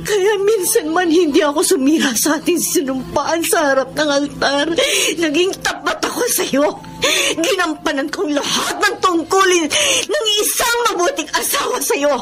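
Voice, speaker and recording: A woman's voice: very high-pitched, expressive, normal speed. A woman playing a betrayed, wounded wife accusing her husband in a Filipino radio drama, with a Filipino accent and melodramatic, tearful delivery. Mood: hurt, pleading. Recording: dramatic music bed behind the voice.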